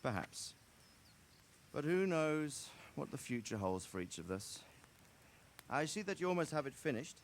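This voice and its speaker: An actor playing a gracious, commanding noble lord who speaks formally and deliberately in a game cutscene. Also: male voice, fairly high pitch, sing-song, slight background noise. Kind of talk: dramatic game cutscene acting.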